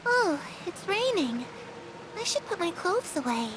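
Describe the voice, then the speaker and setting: A woman's voice: very high voice, big pitch swings, measured pace, full character voice, rough recording. A woman playing a sweet, earnest and innocent little girl in a video game, with a childlike voice. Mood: matter-of-fact. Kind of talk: video game character acting. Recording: game music behind the voice.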